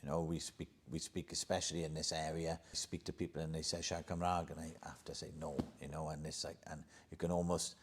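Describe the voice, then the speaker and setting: Guy with a really deep voice, chatty, trails off quiet sometimes. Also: level tone, quick pace, hardly any pauses, slight background noise. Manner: conversational, drops to quiet asides. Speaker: employer at an energy company. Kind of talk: podcast discussion.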